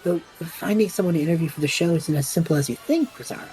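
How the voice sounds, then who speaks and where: Casting: woman as wisecracking extrovert male adventurer, lowish mellow voice, lively delivery, audio drama. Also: fast talker, soft background music, natural voice, low-quality recording. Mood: breezy. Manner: flippant, wry, theatrical. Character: impulsive, chatty extrovert young male traveller, showman.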